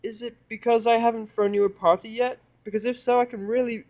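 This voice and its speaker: A man's voice: extremely high pitch, flat delivery, a little fast, darkish tone, voice pushed up. A man voicing a hyperactive, childlike female cartoon pony who pleads and gushes. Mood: distraught, pleading. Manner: bouncy, pleading, gushing.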